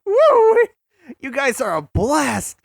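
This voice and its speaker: Woman playing animated, mischievous cartoon hedgehog hero. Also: high-pitched, slow, vocal fry, no room sound, clean studio sound, exaggerated voice. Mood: amused. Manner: slangy, playful. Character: brash, fun-loving, mischievous young hedgehog hero. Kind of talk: fan audio drama acting.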